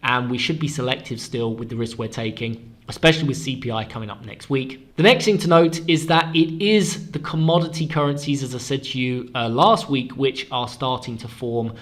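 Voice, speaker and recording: A man with a slightly stuffed-up voice from a cold, hosting a weekly currency market forecast in a brisk, explanatory way and stressing key words. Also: medium pitch, a bit bright. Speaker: forex analyst and show host.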